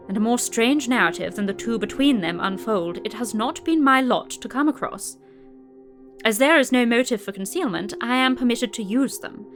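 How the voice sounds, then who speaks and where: A woman playing a composed newspaper correspondent in an audio drama, narrating her dispatch in a measured, articulate way. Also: a little high, close to an everyday voice. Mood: intrigued. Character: observant, composed newspaper correspondent filing reports.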